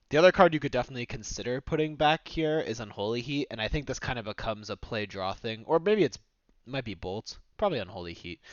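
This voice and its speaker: A man with a smooth, resonant, slightly low voice, recorded dry and close with a muffled, dull tone. He hosts a review, explaining choices in a loose, chatty way. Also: no room sound. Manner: relaxed, explanatory, with frequent fillers. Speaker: host reviewing submitted games. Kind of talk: casual game analysis commentary.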